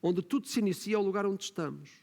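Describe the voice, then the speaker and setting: A man's voice: high voice, fast, gravelly, darkish tone. A man with a European Portuguese accent preaching a sermon as pastor, often adding tag questions and rising to emphatic points. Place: church hall. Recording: long reverb of a church hall.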